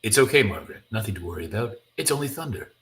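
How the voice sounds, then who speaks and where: A man's voice: fairly low pitch, expressive, racing, a bit bright. A man voicing a level-headed, doubtful husband from a comic in a matter-of-fact way. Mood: reassuring.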